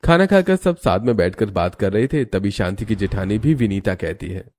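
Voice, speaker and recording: A man narrates a cartoon family story from a script. His voice sits fairly low. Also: animated, normal speed, darkish tone.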